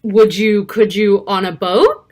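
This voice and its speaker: A woman reading a rhyming children's picture book aloud in an expressive, rhythmic way. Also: medium pitch, takes it slowly. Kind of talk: animated children's story read-aloud.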